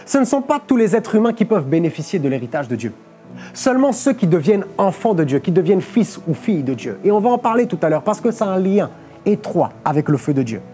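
Young guy preaching on a studio stage, mellow warm voice, keeps checking in with the audience, faint music underneath. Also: sits high, very animated, fast talker. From Portugal. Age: young adult. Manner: explanatory, peppers phrases with "ok?" and "amen". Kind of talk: sermon on a live stream. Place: studio stage with a clear lectern.

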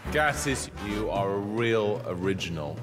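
A man's voice: fairly low pitch, animated, unhurried, over music. A man judging a talent show, joking and teasing the act. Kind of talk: casual judging remarks.

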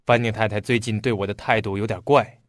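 Man acting a low-voiced, grave young suitor. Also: steady tone, quick pace, dry recording, studio quality, close to an everyday voice. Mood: tense, earnest. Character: serious young male suitor, tense and guarded. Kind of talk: Mandarin radio drama acting.

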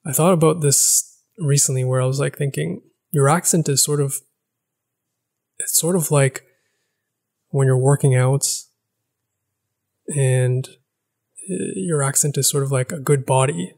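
A young man with a gravelly edge to his voice rambles thoughtfully through a casual vlog monologue. He speaks into a podcast mic in a small living room. He pauses, restarts and searches for words as he goes. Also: on the high side, a little mellow. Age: young adult. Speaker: language-learning vlogger. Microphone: boom-arm podcast mic.

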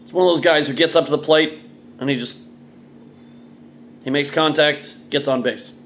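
Male vlog host, high-pitched and very animated, casual jokey reviewer, slightly noisy kitchen recording. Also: moderate pace. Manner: animated, exclamatory, jokey delivery with muttered asides. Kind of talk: casual solo video review, off the cuff. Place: home kitchen indoors. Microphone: phone or webcam at arm's length.

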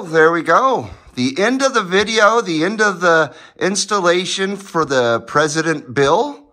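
A man's voice: on the high side, pushed and bright, no echo, clean studio sound. A middle-aged man giving a casual, enthusiastic hands-on demo in an informal way, as host of a hobby channel. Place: small indoor garage, partly from inside a car.

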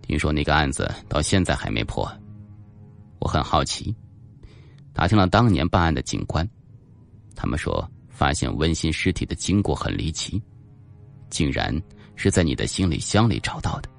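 Guy doing a cold probing cop boss for an audiobook, super low n gravelly, menacing. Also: sing-song, moderate pace, long pauses, faint music behind, heavily acted. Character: cold, probing male police team leader. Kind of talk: audiobook character dialogue.

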